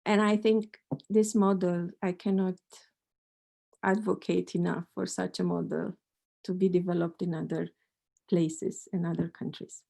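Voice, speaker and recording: Middle-aged woman prof from romania, dark gravelly voice, thinks out loud with lots of restarts. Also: on the low side, flat delivery, a little slow. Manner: thoughtful, hesitant with many restarts. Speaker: mathematics professor. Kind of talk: panel talk answering questions.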